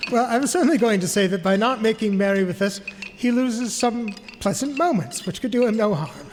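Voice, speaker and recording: A man acting a cheerful, forgiving young Victorian gentleman in a radio drama, with hearty delivery. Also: sits high, animated, a little fast. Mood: good-natured. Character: Scrooge's cheerful, good-natured nephew Fred, young man. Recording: echoey hall, noisy with clinking dishes.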